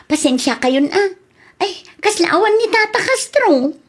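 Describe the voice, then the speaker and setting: A woman's voice: very high-pitched, lively intonation, slow pace, heavily acted. A woman voicing a chatty customer at a rice store in a radio drama. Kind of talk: Ilocano radio drama acting.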